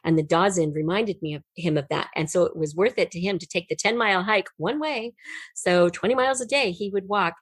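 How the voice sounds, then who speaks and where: A woman's voice: mid-range voice, husky, dry recording. A woman telling stories conversationally as an interview guest, with an effusive, enthusiastic manner. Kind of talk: animated conversational storytelling.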